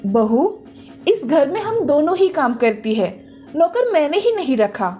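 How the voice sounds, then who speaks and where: Domineering middle-aged mother-in-law for cartoon fable, played by a woman: high, very animated, taunting, faint music underneath. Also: measured pace. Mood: coaxing. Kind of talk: animated moral-story cartoon dialogue.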